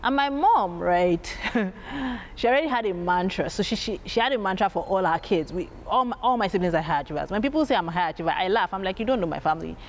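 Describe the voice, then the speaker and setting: A woman with a strong, loud voice, telling stories about her family as an interview guest, animated and laughing as she acts out her parents. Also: medium pitch, fast talker, slight background noise. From Nigeria. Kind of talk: candid storytelling.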